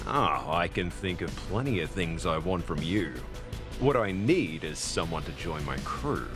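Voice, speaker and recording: A man's voice: low voice, very animated, measured pace, over music. A man playing a roguish, flippant space captain in a video game, glib, wry and flirtatious in delivery. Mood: wry, bargaining.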